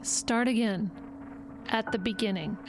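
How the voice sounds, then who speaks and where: A woman acting in an audio drama as a formal, patient psychologist with a measured manner. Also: a little high, vocal fry, over music. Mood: probing, patient. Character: composed, formal female court psychologist.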